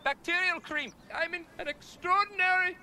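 An actor playing a badly injured man who calmly and politely asks for help after falling down a cliff. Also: extremely high pitch, a little fast, slight background noise, exaggerated voice. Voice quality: politely calm, matter-of-fact.

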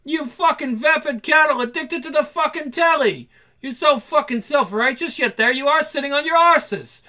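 A man's voice: very high-pitched, moderate pace, pushed and bright, voice pushed up. A man voicing a furious young male punk singer who sneers and swears at the listener. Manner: snarling, swearing, confrontational.

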